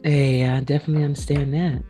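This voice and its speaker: Low-voiced woman hosting casual sports chat. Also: level tone, fast, dark tone, soft background music. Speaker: host.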